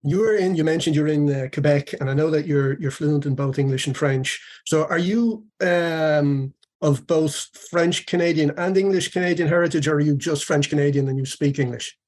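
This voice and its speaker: A man's voice: on the high side, moderate pace, darkish tone. A male podcast host asking curious, rambling interview questions.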